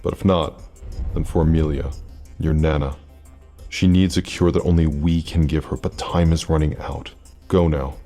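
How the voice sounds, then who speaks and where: An actor playing a secretive, centuries-old immortal leader in an audio drama, speaking with measured, formal authority. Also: male voice, rumbling low, fairly even intonation, warm tone, soft background music. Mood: urgent, solemn.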